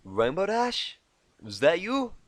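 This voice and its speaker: Guy doing a southern cowgirl cartoon pony, feisty n drawly, high pitched. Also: wildly swooping pitch, slow pace, dry recording, heavily acted. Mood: annoyed, calling out. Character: feisty southern female cartoon pony, farm-girl type.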